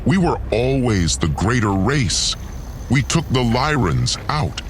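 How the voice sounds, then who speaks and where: A man's voice: mid-range voice, very animated, takes it slowly, slight background noise. A man voicing an arrogant, cold ancient god who declaims with pompous scorn in an audio drama. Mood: contemptuous.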